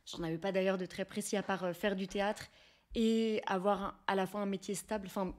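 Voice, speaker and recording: A woman's voice: medium pitch, little pitch movement, a little fast. Interview guest: young woman, French accent, mid-range voice, reflective and explanatory, slightly hesitant. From France. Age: young adult. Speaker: guest, researcher, teacher and actress. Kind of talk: conversational interview answers.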